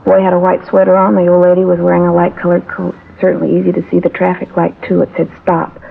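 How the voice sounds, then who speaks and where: An actress playing a talkative, shaken theater cashier who witnessed an accident, in 1950s radio drama style. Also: female voice, fairly low pitch, completely flat delivery, fast talker, gravelly. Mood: distressed, indignant. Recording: tinny, hissy vintage radio recording.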